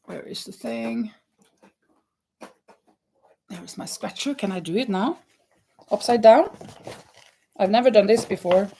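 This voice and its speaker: A woman's voice: mid-range voice, unhurried, creaky, pauses often, mellow, slight background noise. A woman from Norway hosting a crochet livestream from her home craft room, reading out viewer comments and counting stitches aloud with easy laughs. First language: Norwegian. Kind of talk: casual live chat while crocheting.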